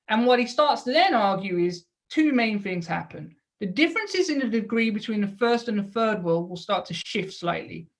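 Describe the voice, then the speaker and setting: Woman giving an explanatory lecture. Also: medium pitch. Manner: explanatory, with rhetorical questions and check-in tags. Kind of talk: lecture to an audience.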